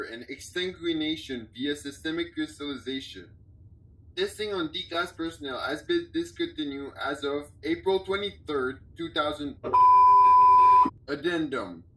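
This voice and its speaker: A man's voice: fairly high pitch, a bit bright, a little hiss or hum. A man narrating a scripted audio log, reading a scientific report in a formal, clinical manner. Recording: roomy, echoey, distant from the mic.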